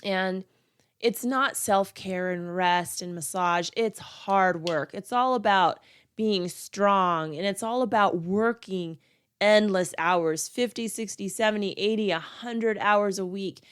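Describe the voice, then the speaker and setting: A middle-aged woman talks earnestly on her solo podcast, her voice stuffy and nasal from congestion. Her tone stays smooth and clear at a middle pitch, and she leans on repeated words to drive points home. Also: slow. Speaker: podcast host.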